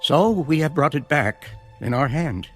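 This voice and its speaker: An actor playing an anxious, humble brother in a Bible audio drama, earnest and deferential. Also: male voice, on the high side, lively intonation, a little slow, faint music behind. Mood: anxious pleading. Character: anxious, humble brother pleading innocence to a steward.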